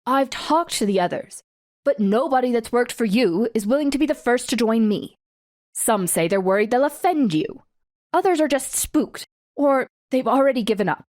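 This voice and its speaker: A woman's voice: fairly high pitch, expressive, normal speed, darkish tone, no room sound, clean studio sound, lightly acted. A woman playing a guarded, determined young mother in an audiobook drama, speaking with restraint and firmness. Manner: restrained, firm. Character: guarded, determined super-powered mother standing up to ex-husband. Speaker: audiobook voice actor.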